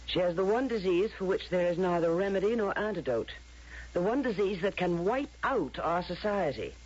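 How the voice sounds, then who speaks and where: A woman playing a stern, ruthless authority figure in a radio drama, with a husky voice and a grave, commanding manner. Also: lowish voice, animated, moderate pace, darkish tone. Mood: grim, urgent. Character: stern, ruthless female authority in future society. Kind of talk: 1970s radio drama acting. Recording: dull vintage radio drama recording.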